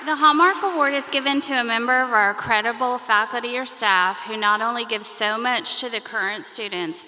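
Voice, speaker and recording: Bright-voiced woman presenting awards in a hall. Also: a little high. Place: banquet hall.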